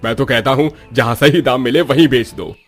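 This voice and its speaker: An actor playing a callous, greedy and dismissive village landlord in a cartoon story. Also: male voice, medium pitch, fairly quick, faint music behind, lightly acted.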